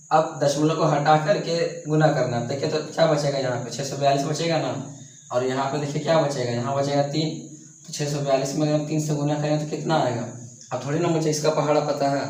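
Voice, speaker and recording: A young man teaching math on a board, speaking softly with a sore throat, coughing and restarting his sentences. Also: fairly high pitch. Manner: repeats and restarts himself, coughs now and then. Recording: echoey room.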